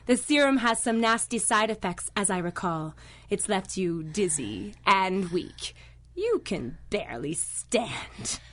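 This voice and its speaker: An actress playing a greedy, scheming villainess with a mocking, taunting manner in a radio drama. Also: female voice, mid-range voice, expressive, takes it slowly. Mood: smug, taunting. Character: scheming greedy villainess peddling a plague cure, smug then desperate.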